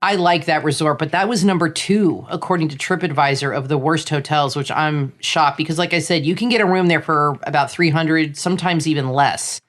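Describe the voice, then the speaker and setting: A woman's voice: sits low, brisk, hardly any pauses. A middle-aged woman from Florida, co-hosting a casual chat and running through opinions in a chatty, opinionated way. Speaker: co-host.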